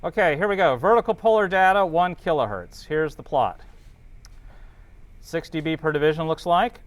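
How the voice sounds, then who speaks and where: Clear-voiced man teaching, thinking aloud. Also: a little high, animated, normal speed. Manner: thinks aloud while working, checks in with students. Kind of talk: informal classroom lecture working through calculations.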